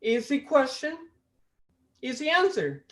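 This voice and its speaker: A man from Costa Rica with a Costa Rican Spanish accent, doing a stand-up comedy set over a video call, with a deliberate delivery that stops and restarts. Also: very high-pitched, wildly swooping pitch, a bit bright. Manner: deliberate, with restarts and self-amused laughs. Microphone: video call mic.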